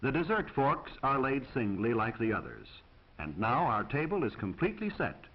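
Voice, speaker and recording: A man's voice: medium pitch, sing-song, normal speed, breathy edge, highs cut off. An American man reading scripted 1940s instructional film narration in a formal, precise, instructive manner on an old film soundtrack.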